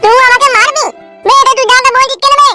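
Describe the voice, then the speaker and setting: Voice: very high voice, fairly even intonation, fast talker, full character voice. An actor voicing an angry, foul-mouthed little boy in a cartoon comedy, brash and scolding. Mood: angry, threatening. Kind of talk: Bengali cartoon comedy dialogue. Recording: loud music under the voice. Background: loud music.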